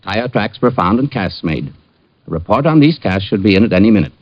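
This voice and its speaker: Fussy humourless cop sergeant, low voice, very dry old radio sound. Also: male voice, expressive, brisk, no room sound. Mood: precise, matter-of-fact. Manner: stiff, precise, literal. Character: pedantic, humourless, exacting police sergeant. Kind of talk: old-time radio drama acting. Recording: dull, dry vintage radio recording.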